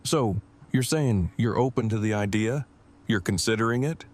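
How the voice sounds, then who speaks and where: A man's voice: on the low side, moderate pace, natural voice. A man acting an earnest, wordy boyfriend in an audio drama, speaking in formal, precisely worded, stilted phrases. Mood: hopeful, devoted.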